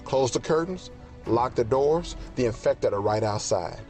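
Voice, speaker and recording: A man's voice: mid-range voice, expressive, unhurried, a little mellow, faint music behind. A man announcing an audio drama series in a dramatic scripted style. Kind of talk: dramatic scripted intro narration.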